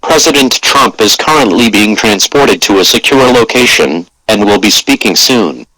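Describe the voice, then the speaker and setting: Male alert announcer: deep-leaning, crisp-edged forceful read, formal, measured, dry recording. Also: lively intonation, no room sound, low-quality recording. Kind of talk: scripted emergency broadcast announcement.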